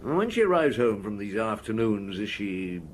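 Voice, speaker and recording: An actor playing a weary, gruff, middle-aged police inspector with a brisk, questioning manner in a radio drama. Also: male voice, lowish voice, very animated, a little hiss or hum. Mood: probing, dry.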